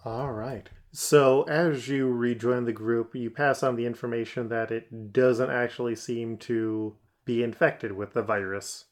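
A game master narrating a tabletop roleplaying game. The delivery is relaxed and halting, full of fillers, and sits at an ordinary middle pitch.

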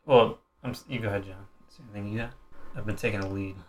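A man's voice: lowish voice, fairly even intonation, racing. A man co-hosting a casual film podcast, laid-back and slangy, acting out lines as he retells. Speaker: podcast co-host. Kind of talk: casual movie discussion.

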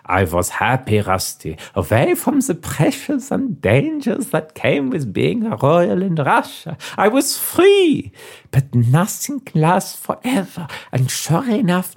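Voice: mid-range voice, darkish tone, husky, exaggerated voice. A performer voicing a dramatic secret princess with a mixed Italian-American accent, confessing theatrically. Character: secret Russian princess, dramatic woman with muddled foreign accent.

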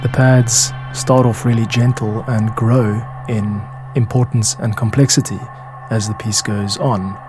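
A man hosting a podcast, explaining his own music in a measured, reflective way. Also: fairly low pitch, steady tone. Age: adult. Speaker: podcast host and music producer.

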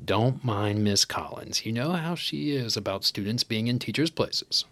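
An actor playing a chummy, self-important high school teacher, an American man with a casual, hesitant way of talking, in a naturalistic audio drama. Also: lively intonation, measured pace, fairly bright tone. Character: chummy, vain male high school teacher, former homecoming king.

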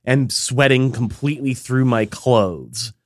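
A man co-hosting a casual podcast chat, congested and tired, talking in a rambling, halting way with many fillers. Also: mid-range voice, dry recording. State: congested, recovering from illness. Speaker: podcast co-host.

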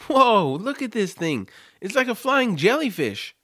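A man playing an eager, earnest young hero in a family audio drama, excitable and wide-eyed. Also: high voice, a little slow. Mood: amazed. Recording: music under the voice.

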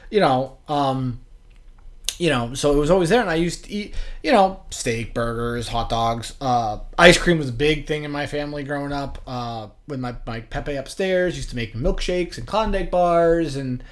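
A man from western Massachusetts co-hosting a casual podcast, telling personal stories in a halting, rambling way that repeats phrases. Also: a little high. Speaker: podcast co-host.

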